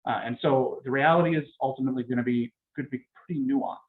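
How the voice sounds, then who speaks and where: A man delivers an academic lecture at a mid-range pitch. He explains carefully and hedges with many fillers. The sound is thin and tinny, like a phone line. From Canada. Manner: measured and explanatory, hedging with frequent fillers. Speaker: political science lecturer.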